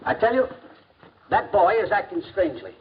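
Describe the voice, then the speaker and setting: A man's voice: high voice, big pitch swings, a little fast, slight background noise. A man playing a stern, irascible, domineering middle-aged father, clipped and commanding, in a 1940s educational film. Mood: domineering, irritated. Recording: muffled 1940s film soundtrack.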